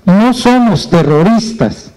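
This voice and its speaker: High-voiced Guatemalan union speaker. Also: male voice, expressive, slow pace, darkish tone, poor audio. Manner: firm, declamatory speechmaking. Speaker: union leader, panelist. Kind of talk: formal public address. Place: meeting hall with a panel table. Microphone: handheld mic.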